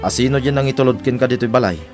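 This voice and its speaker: A concerned older brother with a medium-pitched voice , played by a radio actor. He speaks in Ilocano radio drama style with a Filipino accent, over faint music. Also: racing, a little mellow, lightly acted. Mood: wary.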